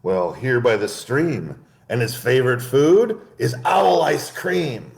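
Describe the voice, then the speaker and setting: A man's voice: lively intonation, slow pace, gravelly. A man voicing a small, clever brown mouse from a storybook, in a raised voice. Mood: bold. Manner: bright storybook voice, raised pitch. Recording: echoey room.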